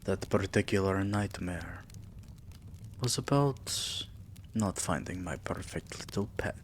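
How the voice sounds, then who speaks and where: Man playing a slow, very deep vampire king. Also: a little hiss or hum. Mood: possessive, fond. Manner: soft, slow, breathy murmurs dipping into whispers. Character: possessive, tender, menacing male vampire king. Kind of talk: intimate ASMR roleplay.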